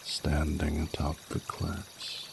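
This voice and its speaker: Man voicing an extremely deep, gravelly dragon king. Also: monotone, slow, exaggerated voice. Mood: tender, wistful. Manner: formal, intimate, archaic courtly phrasing. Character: ancient male dragon king, tender, regal, flirtatious lover. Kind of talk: scripted romantic audio roleplay. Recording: noticeable noise, running water sounds.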